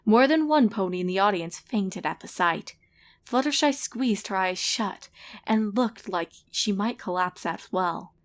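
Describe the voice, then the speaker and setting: A woman's voice: mid-range voice, lively intonation, measured pace. Woman narrator reading a story expressively.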